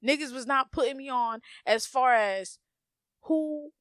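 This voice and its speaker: A woman's voice: high voice, unhurried, fairly bright tone, no echo, studio quality. A young American woman from a Haitian family hosts a solo podcast, talking bluntly and profanely and repeating her points for emphasis. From United States, Haitian family. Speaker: podcast host. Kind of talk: casual solo podcast monologue.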